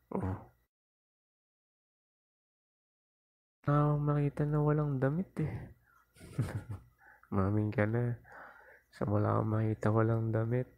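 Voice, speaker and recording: A man's voice: mid-range voice, gravelly, dark tone, no echo. A man playing a cocky, teasing young boyfriend in an intimate ASMR roleplay, with a Filipino accent and a playful, coaxing manner. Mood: playful, relaxed. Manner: playful, coaxing, chuckling, mock-whining. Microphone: lapel mic into mobile phone, close.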